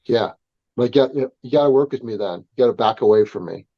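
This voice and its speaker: A man's voice: mid-range voice, fast talker, no room sound. Earnest man on a dull, dry line. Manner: earnest, explains with hypothetical quotes. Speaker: self-defense instructor guest. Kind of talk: casual podcast conversation.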